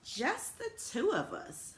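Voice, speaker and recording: A woman voicing an innocent, anxious, sensitive school building from a children's storybook, slow and full of wonder. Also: high voice, huge pitch swings, pitched above the performer's own voice, full character voice. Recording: roomy echo with faint background noise.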